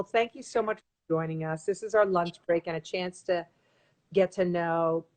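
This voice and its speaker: An enthusiastic woman in her forties hosts a casual live stream from a room at home. She talks slowly and warmly, with plenty of ums, in a fairly low voice picked up by a laptop mic. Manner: bubbly, enthusiastic, lots of ums. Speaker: host. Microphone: laptop webcam mic on a video call.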